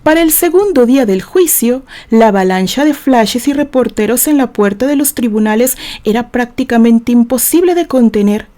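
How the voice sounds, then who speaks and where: A woman's voice: fairly high pitch, lively intonation, moderate pace, few pauses, mellow. A woman with a Mexican accent reading a novel aloud as dramatized, scripted narration.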